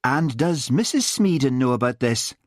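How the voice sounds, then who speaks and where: A man voicing a lazy but shrewd policeman with a Scottish Highland accent, drawling and quietly threatening. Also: expressive, moderate pace, dry recording, clean studio sound, natural voice. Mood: curious.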